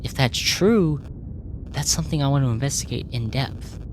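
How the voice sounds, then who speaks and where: A woman's voice: animated, moderate pace. A woman playing a curious, earnest alien researcher in an ASMR roleplay, speaking formally and clinically, puzzled by human feelings. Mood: pleased, fascinated. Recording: noisy with low rumble.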